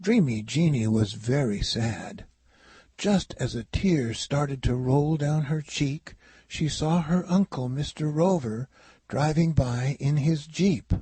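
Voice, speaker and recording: Casting: male children's storybook narrator, very husky and breathy, slow delivery, music underneath. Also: on the high side, dark tone, no echo. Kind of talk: children's read-aloud narration.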